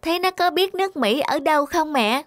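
A woman's voice: high-pitched, lively intonation, normal speed, dry recording, clean studio sound. A woman voicing a curious, sensitive little boy in a cartoon, earnest and full of questions.

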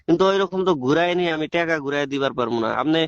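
A man's voice: on the high side, racing, clean tone, dry recording, muffled recording, natural voice. A man playing an exasperated but patient shopkeeper in a comic phone skit, explaining repetitively with a rural eastern Bengali accent. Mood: patient, firm. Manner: explanatory, repetitive, hesitant restarts.

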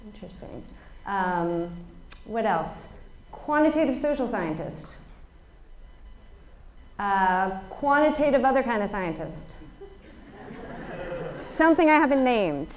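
A woman statistician giving a lively talk to a crowd without a working microphone, speaking energetically with many fillers and questions to the audience. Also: medium pitch, slow pace, long pauses, a little hiss or hum, low-quality recording. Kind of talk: conference talk. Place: large open office event space. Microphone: no working mic, room pickup from a far camera.

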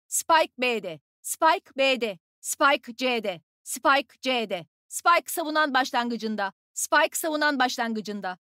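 A woman playing a cocky, agile young female fighter in a video game, energetic, playful and teasing. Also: high-pitched, dry recording, studio quality, full character voice. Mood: brisk, urgent. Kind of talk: game character voice lines, short combat callouts.

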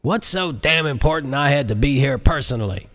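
A man's voice: mid-range voice, animated, fairly quick, voice pushed up, close to an everyday voice. A man voicing a blunt, irritable president in an audiobook, demanding answers impatiently. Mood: irritated, demanding. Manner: forceful, impatient questioning.

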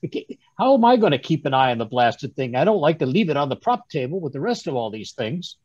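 A man playing a fussy, flustered gentleman sidekick in old-time radio style, husky and stammering over a video call. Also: on the high side, sing-song, quick pace, no room sound. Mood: grumbling. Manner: stammering, blustering, muttering. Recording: muffled video-call audio.

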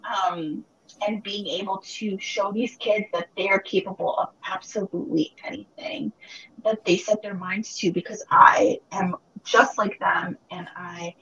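A woman in her thirties whose first language is Spanish, an author answering students' questions in a reflective, warm way over a video call. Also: medium pitch, steady tone, measured pace, gravelly, highs cut off, slight background noise. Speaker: author guest. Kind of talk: virtual book club Q&A. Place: home room, video call. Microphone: webcam or laptop mic on video call.

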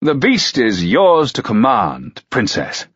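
A proud, stern medieval duke played by a male narrator commands in archaic speech. The voice is husky and pitched above the reader's own, with a dull, dry sound. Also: very animated, moderate pace, a bit bright, no room sound. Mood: jovial.

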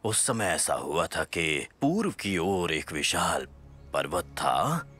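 An actor voicing an old, wise male bear elder who tells a legend in a slow, hushed, half-whispered cartoon dub. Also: sits low, very animated, heavily acted. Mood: mysterious. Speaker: storyteller. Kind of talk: dubbed cartoon voice acting. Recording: studio voice over loud music.

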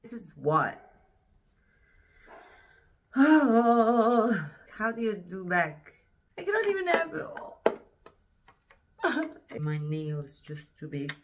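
A woman chatting casually to her camera in a mall, excitable and laughing at herself. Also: medium pitch, takes it slowly, pauses often. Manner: chatty, excitable, self-mocking. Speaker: vlogger. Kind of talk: casual shopping vlog. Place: shopping mall. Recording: noisy mall background.

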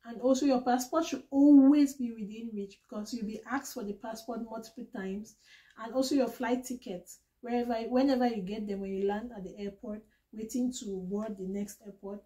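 A woman's voice: fairly high pitch, fairly even intonation, normal speed, warm tone, rough recording. A young woman from Cameroon chatting earnestly about her own trip and giving practical advice in a casual vlog. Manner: chatty and earnest, retelling her own experience with small restarts. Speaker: vlogger sharing travel tips.

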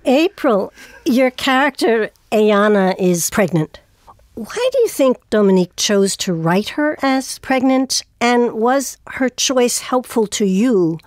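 A woman's voice: fairly high pitch, very animated, takes it slowly, darkish tone, dry recording. A woman hosting an interview, putting prepared questions to her guests. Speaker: interviewer, host.

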